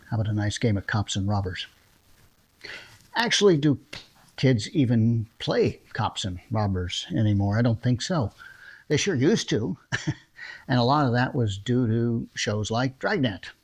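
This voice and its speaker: Man, producer, casual chatty intro, enthusiastic, mid pitch. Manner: chatty, enthusiastic, laughs between phrases. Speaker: producer and host.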